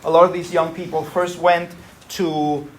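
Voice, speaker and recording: A man's voice: fairly high pitch, level tone, unhurried. A man giving a university lecture in a conversational way, often checking in with his listeners. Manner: conversational, frequent tag "right?".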